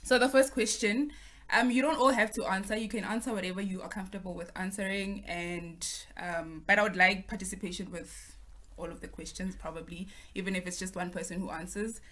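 A woman in her twenties hosts a relaxed group chat over a video call, laying things out in an organized, friendly way. Her voice is bright and her pitch sits in the middle. She sounds like she is talking into a laptop mic from home. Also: brisk. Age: 20s. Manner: friendly, organized, explains step by step. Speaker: host. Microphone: laptop or phone on video call.

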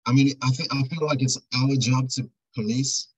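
A man's voice: mid-range voice, fairly even intonation, normal speed, husky, poor audio. A middle-aged man, a documentary maker, talking thoughtfully as a guest over a video call. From Britain. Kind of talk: casual interview chat.

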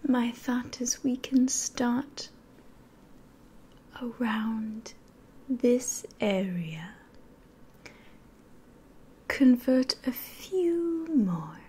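Woman whispering as a slow, seductive scheming demoness. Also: a little high, lively intonation, mellow, heavily acted. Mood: coaxing, sly. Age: 20s. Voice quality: breathy whisper. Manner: slow, deliberate, coaxing. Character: seductive, scheming female demon tempting for souls. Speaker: ASMR roleplay performer. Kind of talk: whispered ASMR roleplay. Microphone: close ASMR microphone.

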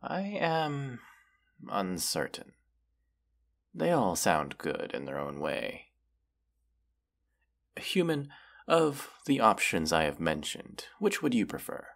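A man voicing a proud, menacing wounded dragon in a fantasy audio roleplay, speaking in a haughty, deliberate, archaic way. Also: fairly low pitch, wildly swooping pitch, creaky, a bit bright, full character voice. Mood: sly, taunting.

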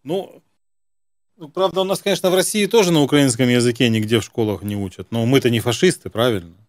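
A man's voice: medium pitch, fast, no echo, clean studio sound. A middle-aged man hosting an unscripted phone interview as a prankster, questioning in a probing, mock-earnest way. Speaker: prank-call host. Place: indoor room with gaming chair.

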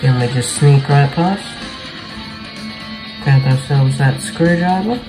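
Young man, unhurried pace, mid-pitched, casual gameplay commentary, slangy, music behind. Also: low-quality recording. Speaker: gaming video host. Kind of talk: casual gameplay commentary, reading cutscene dialogue aloud. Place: small bedroom, indoors. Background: game music.

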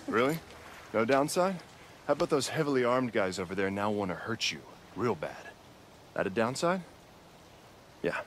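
An actor as a sensible American man in a game cutscene, cautious and exasperated. He reasons in a lively, varied pitch, with some noise behind him. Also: normal speed, long pauses, lightly acted. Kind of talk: video game cutscene acting.

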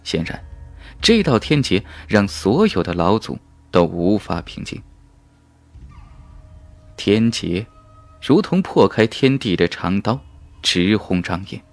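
Low-pitched, animated male audiobook narrator. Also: slow, vocal fry, long pauses, no room sound. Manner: dramatic, emphatic storytelling. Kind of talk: dramatic scripted fantasy novel narration. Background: background music.

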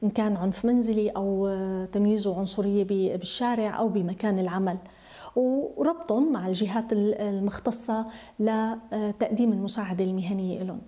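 A woman's voice: medium pitch, fairly even intonation, very fast, mellow. A middle-aged woman journalist with a Syrian Arabic accent, speaking in a documentary interview.

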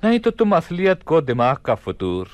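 A man playing a commanding yet gracious Caliph of Baghdad in a radio play, with measured, regal, formal diction. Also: fairly high pitch, wildly swooping pitch, no echo. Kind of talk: Hindi radio play acting.

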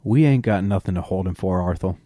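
Man doing a calm drawling sheriff. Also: low voice, brisk, no room sound, studio quality, natural voice. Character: unhurried Southern county sheriff, middle-aged man.

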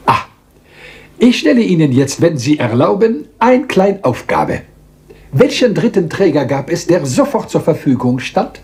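Lively French-accented little detective. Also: male voice, on the high side. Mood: teasing, lecturing. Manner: theatrical, deliberate, polite, lecturing, teasing rhetorical questions. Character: small, meticulous, self-assured Belgian detective. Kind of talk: German radio crime drama acting.

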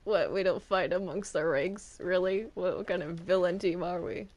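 A woman's voice: on the low side, normal speed, darkish tone, lightly acted. A woman playing a stubborn, sassy cartoon demon and reluctant villain in an improvised Minecraft roleplay. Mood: indignant.

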